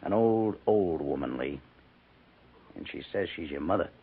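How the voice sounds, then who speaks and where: An actor playing a solicitous, careful army major at a frontier fort in a 1950s radio western. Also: male voice, rumbling low, animated, a little slow, breathy edge, narrow, tinny audio, exaggerated voice. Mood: careful, grave. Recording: thin, band-limited 1950s radio recording.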